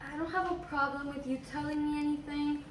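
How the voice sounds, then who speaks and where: A woman's voice: high-pitched, steady tone, brisk, reverberant, over a noisy crowd, poor audio. A teenage girl, the daughter, teasing and talking back in a casual chat. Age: teens.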